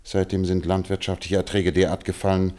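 A man acting a cool, analytical detective in a radio play, speaking in a measured, dryly ironic way. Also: low-pitched, flat delivery, warm tone, lightly acted. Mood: composed, wry.

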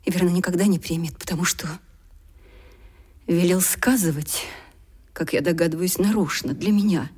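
Breathy, anguished young gentlewoman. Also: fairly low pitch. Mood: bitter. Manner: solemn, impassioned, dramatic pauses. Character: proud, anguished, long-suffering young gentlewoman, humbled by poverty. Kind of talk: Russian radio drama acting, theatrical.

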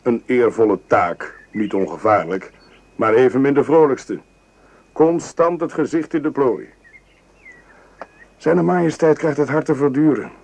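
A genial, rakish officer of the imperial guard in a radio drama. His mid-pitched voice is husky, with an assured, conspiratorial manner. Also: a little slow, pauses often, darkish tone. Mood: confiding, grave. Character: confident, worldly French imperial guard lieutenant, genial and rakish. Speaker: actor. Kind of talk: radio play acting.

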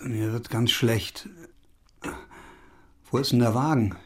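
A man's voice: mid-range voice, fairly even intonation, takes it slowly, fairly bright tone. An actor in an audio drama playing a fussy, despairing city man, hesitant and appalled, repeating himself. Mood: dazed, queasy.

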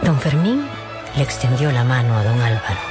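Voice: mid-range voice, sing-song, normal speed, over loud music. A narrator telling a radio novel in a scripted, dramatic style.

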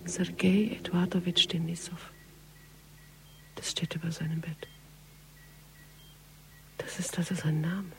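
Hushed, creaky, weary female investigator. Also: fairly low pitch, full character voice, poor audio. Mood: shaken. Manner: hushed, breathy, half-whispered. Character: weary, grieving female police investigator, inwardly brooding. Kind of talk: German radio crime drama, intimate inner monologue.

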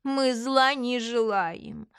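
A shameless elderly mother draws out her words in a high, theatrical lament. She is a melodramatic swindler from an audiobook, speaking slowly and pitifully. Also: a bit bright, clear, resonant tone, dry recording, studio quality, exaggerated voice. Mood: falsely meek. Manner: wailing, drawn-out, theatrical.

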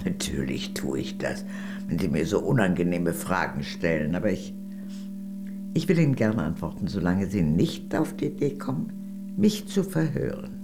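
A woman's voice: a bass voice, animated, moderate pace, over music. An actress playing a worldly, gracious older lady, a former communist, in a radio play, speaking in a genteel, arch, confiding way. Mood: arch, teasing.

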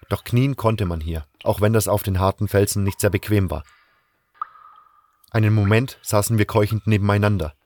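A man's voice: on the low side, a little mellow, slight background noise. A man reading scripted first-person narration for a fantasy fan audio drama.

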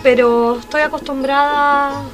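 A woman from the Buenos Aires area speaks with a Chilean accent she picked up, her voice high and bright. She talks plainly and calmly as a radio guest while music plays clearly behind her. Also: measured pace. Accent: Chilean Spanish over an Argentine base. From southern Buenos Aires area, Argentina. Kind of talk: casual interview chat.

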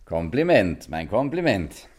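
A confident gentleman gangster in an old radio satire. His voice is low, and he talks in a brisk, matter-of-fact, slightly skeptical way. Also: sing-song. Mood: brisk, bemused. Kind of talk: 1950s German radio satire acting.

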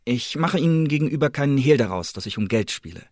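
An actor playing a brooding, wary secret agent and poet, with a husky, breathy voice, in an intimate radio drama monologue. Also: male voice, mid-range voice, lively intonation, fast talker, darkish tone, no room sound, studio quality. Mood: wary, indignant.

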